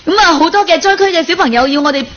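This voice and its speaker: A woman playing a pushy, soft-hearted female office employee in an improvised Cantonese radio comedy, exclamatory and wheedling, with a Guangdong accent. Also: extremely high pitch, rapid-fire. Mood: cheeky wheedling. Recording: dull lo-fi radio recording, clear noise.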